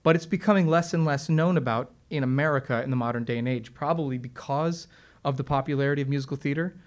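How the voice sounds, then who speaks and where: A man's voice: mid-range voice, fast talker. A man who sings opera talks casually and enthusiastically to an audience, explaining his craft with lots of fillers and restarts. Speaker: opera singer and presenter. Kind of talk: relaxed explanatory talk to an audience. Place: stage or studio with piano.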